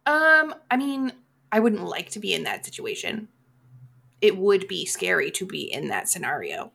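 The co-host on a casual movie podcast who wanders off on tangents, a woman with a gravelly fry in her voice. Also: mid-range voice, sing-song, normal speed, bright, forceful tone. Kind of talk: casual chat about horror movies.

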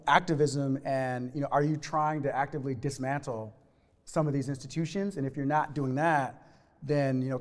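A man moderates a reflective conversation in a middle-pitched voice. He sounds exploratory and thoughtful as he works through ideas. The recording carries a long, reverberant room tail. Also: darkish tone. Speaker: podcast host.

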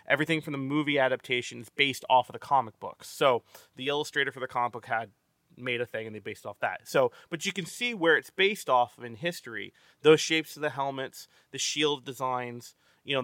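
A man's voice: medium pitch, pushed and bright, dry recording. A man hosting a casual movie-review podcast, rambling with many stammered restarts and free swearing. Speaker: podcast host.

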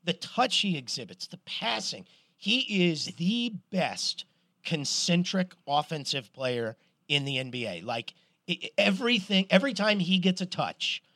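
Middle-aged guy on a sports podcast, a bit high-pitched, tells stories fast and swears. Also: no room sound. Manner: animated storyteller, swears, restarts a lot. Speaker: podcast co-host. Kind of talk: casual sports chat.

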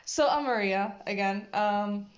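Giggly teenage girl, dull lo-fi audio. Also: mid-range voice. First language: Arabic. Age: teens. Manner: giggly, gushing, quick bursts. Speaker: podcast co-host. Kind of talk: casual chat.

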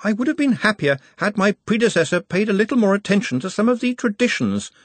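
A man's voice: high voice, very animated, fast, no room sound, voice pushed up. A man reading an audiobook, voicing an anxious, precise young male wizard who hedges before bad news. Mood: hesitant. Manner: hesitant and precise, with fussy hedging.

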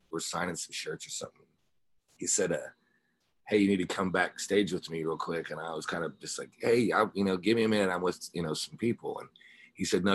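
A man's voice: sits low, a bit bright, breathy edge, no echo. A man being interviewed as a musician, chatting informally and telling rambling anecdotes. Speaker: musician interview guest, rock singer and guitarist. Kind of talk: casual chat, telling anecdotes.

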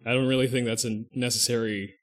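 A man's voice: mid-range voice, level tone, quick pace. Rambling man thinking aloud. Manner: rambling, thinks aloud, restarts and self-corrects often. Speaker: podcast co-host. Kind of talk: casual podcast chat.

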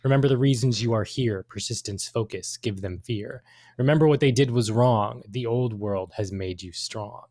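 A man co-hosting a podcast, discussing lore casually in a halting, hesitant way. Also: medium pitch, a little mellow, no echo, clean studio sound. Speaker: podcast co-host.

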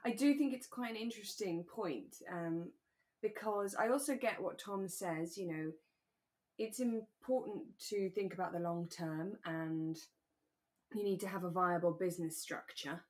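Female podcast co-host, fairly low pitch, chatty and conversational, thinking out loud. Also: unhurried. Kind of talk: casual chat about a radio drama.

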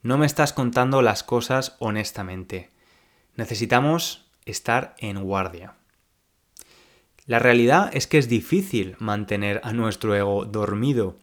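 A young man from Spain with a Spanish accent, hosting a podcast lesson for learners and speaking slowly and clearly like a teacher. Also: mid-range voice. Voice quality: slow, clear teacher speech.

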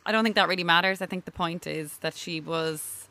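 A young Irish woman chatting as a podcast guest, animated and emphatic, laughing often. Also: lowish voice, brisk. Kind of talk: casual chat.

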